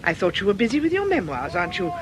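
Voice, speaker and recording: A composed female religious sister with a high, very melodic voice. She is polite and gently questioning, in radio play style, over clear background noise. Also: huge pitch swings, fast talker. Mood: polite, interested. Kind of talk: radio drama acting.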